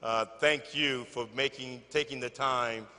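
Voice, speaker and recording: A man with a loud voice speaking off-script at a ceremony in a gymnasium, halting with fillers. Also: mid-range voice, fairly even intonation, big-room sound, noisy background. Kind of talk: informal ceremony speech.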